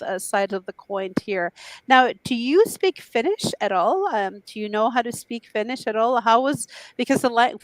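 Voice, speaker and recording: A woman's voice: a little high, dry recording, low-quality recording. Chatty woman talk show host. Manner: chatty, effusive, restarts often. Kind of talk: interview.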